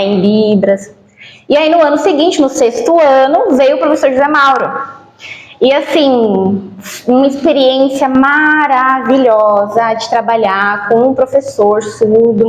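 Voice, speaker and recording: A Brazilian woman who works as a school sign language interpreter, giving a spoken testimonial in a halting way with drawn-out emphasis. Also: fairly high pitch, darkish tone. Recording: roomy echo.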